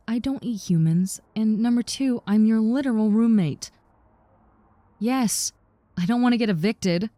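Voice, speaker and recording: A woman as a friendly lamia roommate, mellow and close. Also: fairly low pitch, dry recording. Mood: wry, defensive. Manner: casual, reassuring, teasing. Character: friendly, harmless giant snake-girl lamia roommate, young woman. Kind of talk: roleplay audio with personal attention, conversational acting.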